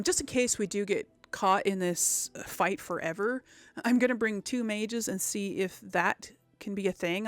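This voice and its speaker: Gravelly-voiced woman doing casual gaming commentary. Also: medium pitch, dry recording. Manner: chatty, thinking aloud. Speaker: host of a role-play video game series. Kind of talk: casual let's play commentary.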